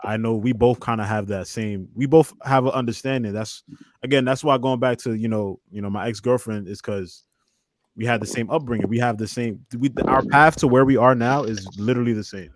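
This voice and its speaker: A man's voice: mid-range voice, fairly quick. A man in his twenties chatting casually as a guest on a panel, in informal, slangy speech. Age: 20s. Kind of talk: casual chat.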